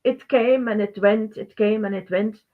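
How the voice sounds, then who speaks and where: A middle-aged woman from Belgium, a native Dutch speaker with a Belgian Dutch accent, presenting a webinar informally in halting, non-native phrasing. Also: medium pitch, steady tone. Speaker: webinar host, teacher. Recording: thin phone-like band-limited webinar audio.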